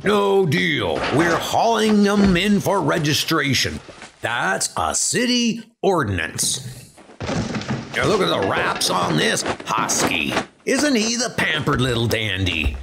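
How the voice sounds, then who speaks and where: A man voicing a gruff, bossy tiger enforcer in a comic dub, commanding and taunting. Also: fairly high pitch, sing-song, takes it slowly, background noise, exaggerated voice. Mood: gruff, mocking. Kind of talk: comic dub character acting. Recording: music under the voice.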